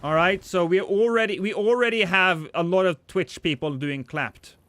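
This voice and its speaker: A man's voice: high-pitched, moderate pace, fairly bright tone, no room sound. Man, game streamer, joking, chatty, dry close sound. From Norway. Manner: joking, chatty, reads chat aloud. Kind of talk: casual live-stream chat while gaming.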